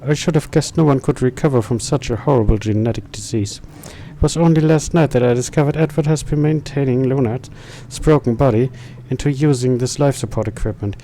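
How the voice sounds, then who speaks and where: A man's voice: medium pitch, mellow, slight background noise, close to an everyday voice, low-quality recording. Man playing a sorrowful, earnest game character. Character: anguished, sorrowful male friend of a dying woman.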